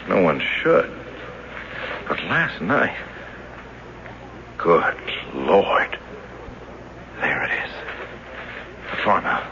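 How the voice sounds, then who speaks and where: A man's voice: extremely low pitch, exaggerated voice. An actor in a 1970s radio drama playing an earnest, agitated ordinary man facing the supernatural, urgent and insistent. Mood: bewildered. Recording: dull, noisy 1970s radio recording.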